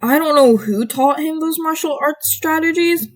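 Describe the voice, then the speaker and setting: A woman's voice: high voice, moderate pace, pitched above the performer's own voice, close to an everyday voice. A woman voicing a thoughtful, modest teenage boy martial artist, musing tentatively in a story reading. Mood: pondering.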